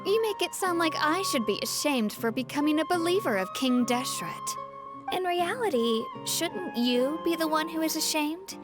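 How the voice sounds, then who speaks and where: A woman playing a townswoman turned righteous, accusing believer in an anime video game, with measured, pointed delivery. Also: sits high, lively intonation, music in the background. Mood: scornful.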